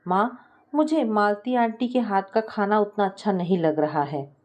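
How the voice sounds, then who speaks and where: A woman's voice: mid-range voice, a little slow, darkish tone, natural voice. A woman storyteller voicing an affectionate, demanding young daughter-in-law with a coaxing, pleading manner. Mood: hesitant complaint.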